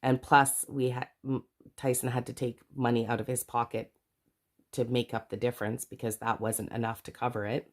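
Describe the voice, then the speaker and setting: Casting: middle-aged woman, very low voice, nasal and congested from a cold, chatty vlogger who keeps correcting herself. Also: level tone, normal speed. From Canada. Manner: chatty, rambling, self-correcting asides. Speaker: budgeting vlogger. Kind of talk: casual chat to camera.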